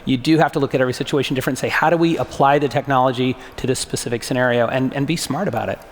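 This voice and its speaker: A man's voice: mid-range voice, fairly even intonation, rapid-fire. A man speaking conversationally as a panelist, with an emphatic, anecdotal way of talking, in an echoey hall. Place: large hall, panel stage. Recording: echoey hall with long reverb.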